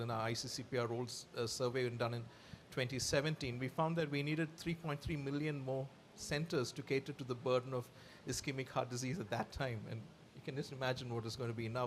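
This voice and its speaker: A man explains his points as a conference panelist. His voice is mid-pitched and his delivery measured. Clear ambient noise runs behind him. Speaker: panelist, health expert. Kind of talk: panel discussion. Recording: live event with clear background noise.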